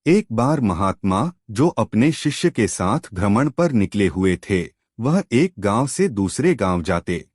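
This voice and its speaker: A man telling a moral story in an unhurried, expressive way. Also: lowish voice, no echo, studio quality.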